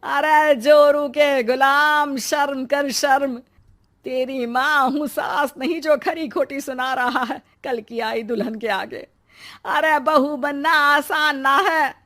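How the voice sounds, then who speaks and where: Shrill, bossy, taunting mother-in-law. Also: steady tone, fairly bright tone. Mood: sarcastic scolding. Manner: theatrical, sarcastic, drawn-out scolding. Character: bossy, taunting mother-in-law scolding her grown son. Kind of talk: animated Hindi moral story acting.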